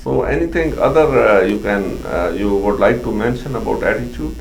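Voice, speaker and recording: A man hosting an interview, asking questions in a hesitant way with many pauses. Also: lowish voice, animated, vocal fry, rough recording. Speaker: interview host. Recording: faint mains hum.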